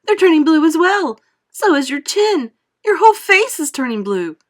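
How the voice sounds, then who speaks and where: A woman's voice: high-pitched, big pitch swings, moderate pace, fairly bright tone, pitched above the performer's own voice. A woman reading aloud, voicing a frantic middle-aged mother who screams and shrieks in panic. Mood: shrieking panic.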